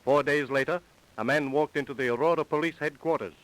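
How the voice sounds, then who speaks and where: A man's voice: on the high side, fairly quick, a bit bright, lightly acted, low-quality recording. An American man acting as the solemn, dramatic narrator of a 1930s radio crime drama, reading from a script. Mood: grave. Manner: formal, dramatic. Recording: vintage 1930s radio recording.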